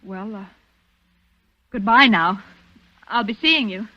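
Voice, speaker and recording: A woman's voice: medium pitch, slow pace, over loud music, close to an everyday voice. An actress playing a bold young socialite woman in a 1940s radio drama. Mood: brisk, intimate. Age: young adult. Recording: hissy, dull vintage radio recording.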